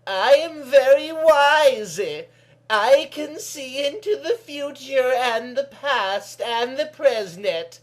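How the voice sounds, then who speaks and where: Man doing a high, slow, wise old woman. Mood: mysterious. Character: small wrinkled old wise seer woman, mysterious.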